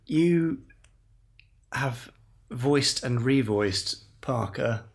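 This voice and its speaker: A man hosting a podcast, asking questions briskly and affirming the guest's answers. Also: mid-range voice, vocal fry. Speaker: podcast host interviewer. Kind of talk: interview questions.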